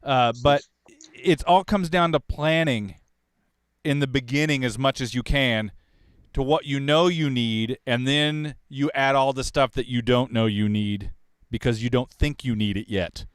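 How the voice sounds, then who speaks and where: Guy from kansas, podcast host, smooth resonant voice, talks shop loosely with little laughs. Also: mid-range voice, unhurried, no echo, clean studio sound. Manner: rambling, restarts questions, chuckles. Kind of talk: casual studio shop talk.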